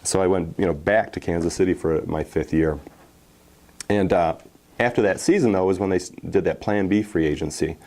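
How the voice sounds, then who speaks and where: Man, forties, deep low voice, loose chatty recollection, lots of "you know". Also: moderate pace. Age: 40s. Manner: relaxed, many fillers and restarts, animated when telling game stories. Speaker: former football player, interview guest. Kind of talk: casual storytelling interview.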